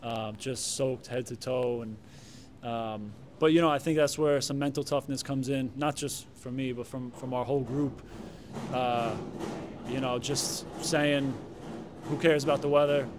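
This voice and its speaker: A man in his thirties, a goalkeeper answering reporters' questions at a press conference in a relaxed way, with many fillers and restarts. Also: medium pitch, unhurried, a little hiss or hum. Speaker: soccer goalkeeper. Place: stadium press room.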